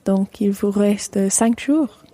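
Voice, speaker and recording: Very mellow, chatty French mother played by a woman. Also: medium pitch, takes it slowly. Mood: friendly, curious. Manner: conversational, questioning. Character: chatty, inquisitive French mother hosting new friends. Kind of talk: scripted language-course radio play.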